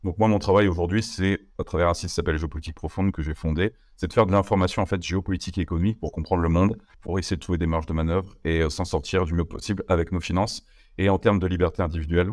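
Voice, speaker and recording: A young man from France talking as an interview guest, casual and halting, with many fillers and restarts. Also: low voice, steady tone, a little mellow, no echo, studio quality.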